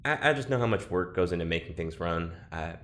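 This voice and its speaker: An actor playing a guarded, awkward, reluctant male test subject in an audio drama, speaking in a halting, subdued way. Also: deep voice, expressive, a bit bright. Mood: guarded concern. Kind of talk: naturalistic audio drama acting.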